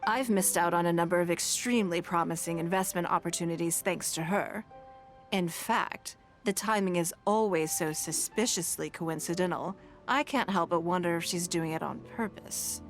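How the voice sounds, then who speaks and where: A woman voicing a composed, shrewd, wealthy business lady in a video game, speaking in a measured, elegant, formal way. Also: on the low side, lightly acted. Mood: dryly skeptical. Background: background music.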